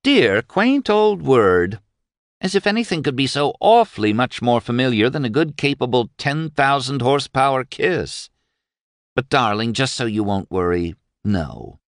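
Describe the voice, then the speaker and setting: A man's voice: medium pitch, very animated, a little slow, no room sound, clean studio sound, pitched above the performer's own voice. A man doing a bold, teasing young daughter. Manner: teasing, then fervent and insistent.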